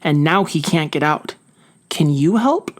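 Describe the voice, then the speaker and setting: A woman's voice: low-pitched, animated, unhurried. A woman voicing a sensible, bossy but caring hedgehog in a storybook, emphatic and reassuring. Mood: urgent.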